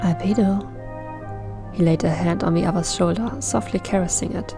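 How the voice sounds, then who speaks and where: A woman's voice: lowish voice, steady tone, fairly quick, gravelly. A woman reading fan fiction aloud as scripted story narration. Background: background music.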